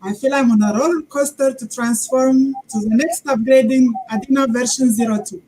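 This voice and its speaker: An earnest woman from Romania speaks formally as a talk show guest. Her voice is fairly high, with a dark, mellow tone. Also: steady tone, moderate pace, slight background noise, low-quality recording.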